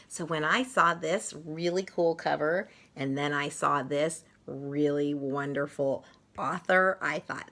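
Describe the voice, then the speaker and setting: A woman reading a picture book aloud to children in an expressive, playful way, with sing-song emphasis on repeated sound words. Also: low-pitched.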